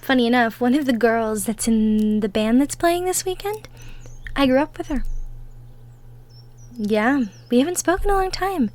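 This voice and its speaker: High-pitched, sweet young woodland woman, played by an actress, gentle and cheerful, folksy, for an intimate roleplay audio. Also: moderate pace, pauses often, lightly acted. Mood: friendly, curious. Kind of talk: roleplay audio drama, intimate one-sided conversation.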